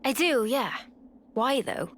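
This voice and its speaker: An actress playing a gentle, level-headed young woman in a video game cutscene, speaking in a measured, gently firm way. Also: sits high, lively intonation, slight background noise. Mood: thoughtful, reserved.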